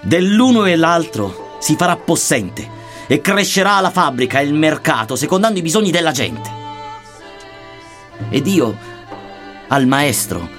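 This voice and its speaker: A man reciting an archaic satirical verse narrative in a solemn, measured, declamatory manner. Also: medium pitch, a bit bright. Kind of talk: dramatic poetry recitation in archaic Dantean verse.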